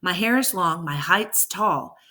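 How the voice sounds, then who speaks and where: A woman's voice: on the low side, expressive, unhurried, sharp tone. A woman teacher reading a verse story aloud with rhythmic, emphatic delivery and brief explanations.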